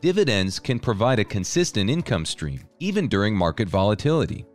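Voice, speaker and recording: Male narrator for a scripted explainer; expressive pitch, even pace, light music bed. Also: brisk. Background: soft background music.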